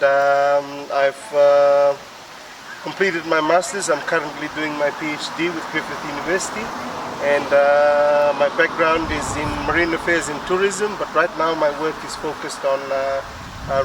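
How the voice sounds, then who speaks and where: A man with a Fijian accent explaining things as an interview guest, hesitantly, with many pauses. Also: fairly high pitch, fairly even intonation. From Fiji. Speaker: interview guest, PhD researcher. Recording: distant mic with faint urban noise.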